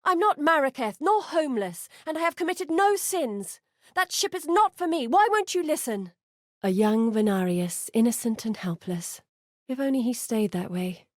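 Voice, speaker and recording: A woman's voice: high-pitched, lively intonation, normal speed, no echo, studio quality. An actress playing an earnest, curious woman scholar in a video game, articulate, thoughtful and dramatic in delivery. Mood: distressed. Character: earnest, curious woman scholar guiding an exile through memories. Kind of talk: video game character voice acting.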